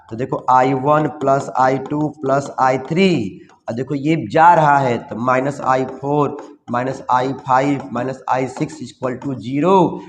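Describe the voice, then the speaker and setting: Hoarse male teacher in echoey room. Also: mid-range voice, a little hiss or hum. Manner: energetic, repeats tag questions, folksy coaching. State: slightly hoarse. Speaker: physics teacher. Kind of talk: exam revision lesson. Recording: roomy echo, long reverb.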